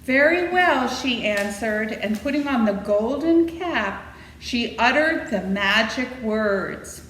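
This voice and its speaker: Bright, animated woman reading in an echoey room. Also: on the high side, slow pace. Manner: expressive, playful, dramatic emphasis. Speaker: library storytime reader. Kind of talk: animated children's story reading with asides to listeners. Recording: echoey room with faint noise.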